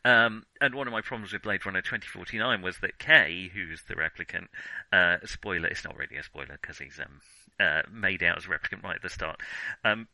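A man co-hosting a film podcast, thinking aloud in a halting, digressive way as he casually reviews what he has watched. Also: low-pitched, bright, forceful tone, dry recording, poor audio.

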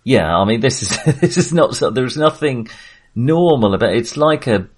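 A man's voice: medium pitch, lively intonation, normal speed. A man talking casually as a film podcast host, in a hesitant, rambling but enthusiastic way.

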